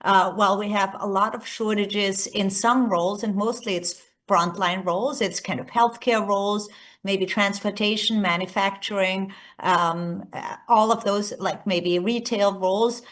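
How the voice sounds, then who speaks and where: A woman's voice: mid-range voice, steady tone, unhurried, fairly bright tone. A woman from Austria with an Austrian accent, a native German speaker, giving a semi-scripted conference keynote as a research executive. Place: remote presentation by screen share.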